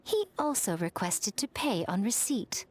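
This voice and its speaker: A woman's voice: mid-range voice, animated, measured pace. A woman voicing a poised, sly and teasing adult shrine priestess in a video game.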